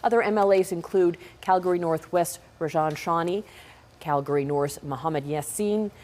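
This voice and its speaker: Casting: female news anchor, Canadian accent, low-pitched voice, scripted bulletin delivery. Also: moderate pace, a little mellow.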